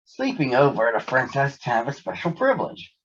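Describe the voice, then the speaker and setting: A man voicing a caring, cautious mother bear in a storybook, gently instructive. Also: medium pitch, big pitch swings, quick pace, slight background noise. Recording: dull lo-fi sound.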